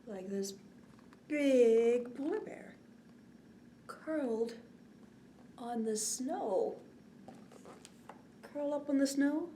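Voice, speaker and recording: A woman leads a bedtime story time for small children in a fairly high voice. She speaks slowly and expressively, stretching words and often softening to a hush. There is clear background noise in the recording. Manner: expressive, drawn-out words, often dropping to hushed whispery tones. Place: indoors, small curtained set.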